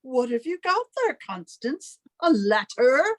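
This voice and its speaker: A woman's voice: high-pitched, big pitch swings, a little slow, no echo, studio quality, heavily acted. A woman playing a possessive, sanctimonious older sister in old-time radio melodrama, theatrical and reproachful. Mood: stern, prying.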